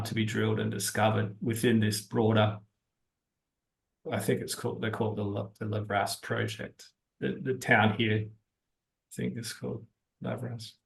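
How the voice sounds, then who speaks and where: A man giving unscripted explanations as an interview guest, talking haltingly as he thinks aloud. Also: lowish voice, gravelly. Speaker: interview guest, geology-minded stock investor.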